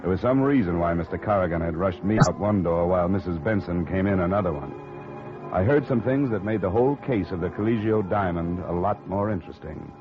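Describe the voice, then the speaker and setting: A man's voice: low-pitched, brisk, lightly acted. A man playing a wry insurance investigator and narrator in a 1950 radio drama, laconic with dry wit. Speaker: narrator and lead detective. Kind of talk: 1940s radio acting. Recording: dull 1950 radio recording with music.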